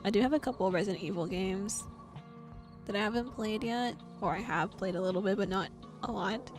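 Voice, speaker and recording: A woman's voice: medium pitch, brisk, music in the background. A young woman streamer in casual, rambling chat, giggling often and talking in a bubbly way into a desk microphone. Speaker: VTuber streamer.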